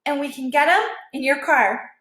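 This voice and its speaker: A woman's voice: sits high, moderate pace, fairly bright tone. A woman voicing a determined, plucky female animal shelter worker in a storybook, eager and pressing. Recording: echoey room.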